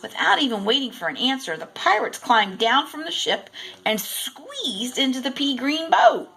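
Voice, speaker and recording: A woman reading a children's storybook aloud in expressive, deliberate narration. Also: high voice, poor audio. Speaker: storybook reader.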